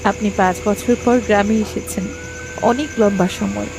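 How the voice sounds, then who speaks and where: A woman's voice: medium pitch, steady tone, moderate pace, over music, lightly acted. A woman playing a mysterious, polite young village woman in a cartoon story, with an enigmatic manner. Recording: clearly noisy night-insect ambience.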